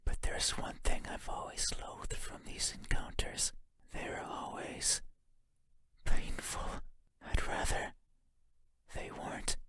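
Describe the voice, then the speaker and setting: A man voicing a lonely, yearning monster for a horror game, in a breathy whisper with a halting, intimate manner. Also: bright, forceful tone. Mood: eerie musing. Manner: halting, intimate, musing, formal phrasing. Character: lonely wordless monster lurking in the dark, yearning, eerie. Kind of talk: video game horror monologue.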